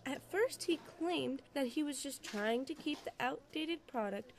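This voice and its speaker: A woman's voice: sits high, lively intonation, measured pace. A woman playing an earnest, easily confused young female store clerk in an audio drama. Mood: confessing.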